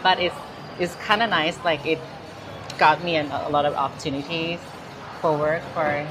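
A woman's voice: deep voice, fairly even intonation, normal speed, over music, poor audio. A woman in her thirties with a Thai accent, chatting casually in an interview with lots of fillers and restarts, recorded on a lapel mic in a large indoor mall. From Thailand. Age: 30s. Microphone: clip-on lapel mic.

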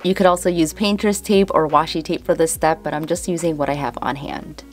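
A woman's voice: deep voice, fairly quick, over music. A woman in her twenties with a Chicago accent, chatting casually as she explains a DIY craft tutorial step by step. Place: indoors, room with houseplants.

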